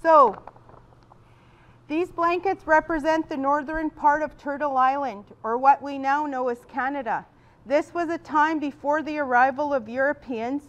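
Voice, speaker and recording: Woman facilitator, high voice, projects loudly to a big room without a mic, dull lo-fi sound. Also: steady tone, unhurried. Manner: loud projecting voice without a microphone. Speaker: workshop facilitator. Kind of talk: guiding a group exercise. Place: large event room.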